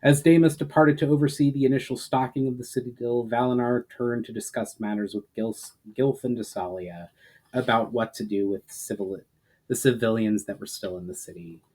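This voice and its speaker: A man narrates a fantasy tale in a dramatic storybook style. His voice sits at an ordinary middle pitch. He reads earnestly, leaning on the openings of phrases. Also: fairly even intonation, normal speed, dark tone, poor audio. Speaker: author reading his fantasy novel aloud.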